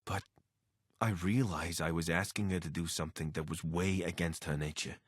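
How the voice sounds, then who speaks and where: Game soldier, deserter pilot, lowish pitch, animated delivery, conversational, earnest, dry close recording. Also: male voice, normal speed, slightly rough, dry recording. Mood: earnest, regretful. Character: anxious, wry male deserter soldier and pilot. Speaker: voice actor for a companion character. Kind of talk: video game character dialogue.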